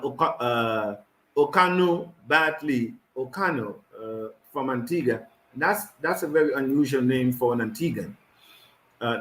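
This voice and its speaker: A man's voice: medium pitch, a bit bright, echoey room, low-quality recording. A man hosting an online community call, reading out viewers' greetings by name, stumbling over names and laughing often. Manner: reads names haltingly with frequent laughs.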